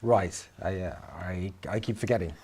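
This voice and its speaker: A man's voice: low-pitched, moderate pace, darkish tone, husky. A man playing a flustered, brainy mortal who bargains for his soul, hesitant and incredulous, in a dramatic script reading. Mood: hesitant, puzzled.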